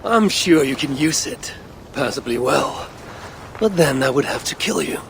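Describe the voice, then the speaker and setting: An actor playing a proud, dryly witty Norse god warrior in a video game, speaking in a measured, commanding way. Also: male voice, medium pitch, expressive, gravelly, fairly bright tone, a little hiss or hum. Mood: wry, taunting. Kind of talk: video game cutscene acting.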